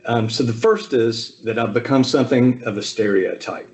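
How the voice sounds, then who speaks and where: A man in his fifties from the American South, giving a relaxed personal talk over a video call with frequent ums and asides. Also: medium pitch, normal speed. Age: 50s. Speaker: speaker at a Zoom talk. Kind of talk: personal family history talk.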